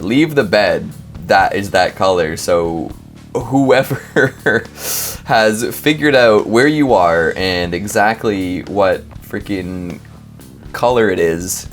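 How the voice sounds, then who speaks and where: A man hosting a casual comedic reaction show, talking in an animated, wry, rambling way with sudden exclamations. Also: lowish voice. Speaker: host reacting to scary clips.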